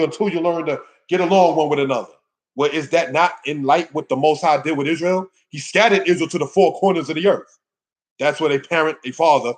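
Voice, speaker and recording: A man with a somewhat high voice gives an informal Bible lesson. He speaks as a teacher to his congregation, exhorting them emphatically and putting rhetorical questions to them. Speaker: religious teacher giving a scripture lesson.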